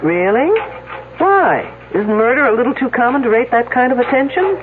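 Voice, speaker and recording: An actress playing a brisk, wisecracking, unflappable lady detective in a radio mystery, dry and quick with quips. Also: a little high, sing-song. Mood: sardonic, commanding. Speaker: radio drama actor. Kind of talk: 1970s radio mystery acting. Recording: noisy, phone-like old radio recording.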